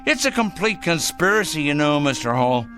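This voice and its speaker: Fussy, excitable fanatical crank. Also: male voice, a little high, moderate pace, soft background music. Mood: conspiratorial, indignant. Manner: fussy, excitable, repeating himself emphatically. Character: fanatical, self-righteous male crank obsessed with punishing evil. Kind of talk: radio drama acting.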